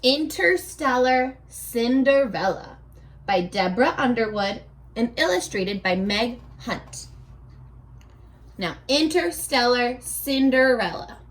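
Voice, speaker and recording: A woman teaching young children in a read-aloud lesson, speaking in an animated, playful way and asking questions as if the viewers answer back. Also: a little high. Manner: asks questions and answers imagined replies.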